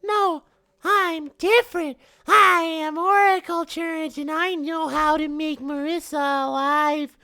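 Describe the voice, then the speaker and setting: A man's voice: extremely high pitch, fairly even intonation, slow pace, sharp tone, no room sound, clean studio sound, voice pushed up, heavily acted. A man voicing a mystical robot turret, an earnest cartoon oracle making announcements. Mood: solemn.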